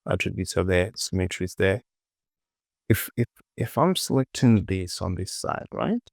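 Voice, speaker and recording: A man giving a casual software tutorial, thinking aloud and muttering short clipped phrases to himself as he works. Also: low-pitched, expressive, normal speed, creaky, no room sound, studio quality. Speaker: 3D modeling tutorial presenter.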